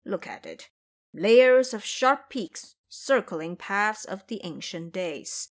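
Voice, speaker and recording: A woman narrates a scripted tale for an audio drama. Her delivery is dramatic, with highly animated intonation that rises and falls. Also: slow pace.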